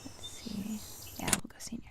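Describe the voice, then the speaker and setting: A woman's voice: moderate pace, noisy background. A woman chatting casually and thinking aloud, a bit distracted, as she streams a game. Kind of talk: casual chat while playing a game. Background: game music.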